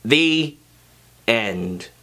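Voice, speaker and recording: A man's voice: medium pitch, drawn-out words. A man reading fan fiction aloud as a host, narrating expressively and acting out the dialogue. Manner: expressive, acts out characters' dialogue. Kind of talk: dramatic story reading.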